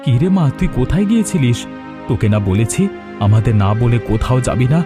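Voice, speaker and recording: A man playing a caring, anxious village father in a moral-story cartoon, speaking gently and earnestly. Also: medium pitch, big pitch swings, fairly quick, music in the background, close to an everyday voice. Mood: concerned. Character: caring, worried poor village father and husband.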